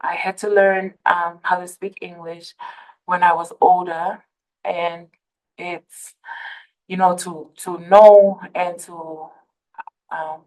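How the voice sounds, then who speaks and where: A woman from Tonga speaks with a Tongan accent in a slow, unhurried webinar talk. Her voice sits fairly low and stays flat in pitch. She often pauses, restarts, and fills the gaps with fillers. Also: poor audio. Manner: hesitant, many fillers and restarts. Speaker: presenter for a behavioral health center. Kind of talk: webinar presentation.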